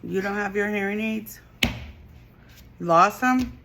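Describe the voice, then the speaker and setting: A woman filming a casual home vlog about her family, speaking softly and quietly into a phone camera held close. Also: takes it slowly, vocal fry, noisy background. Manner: soft, low-volume talk that others struggle to hear. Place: at home, partly in bed.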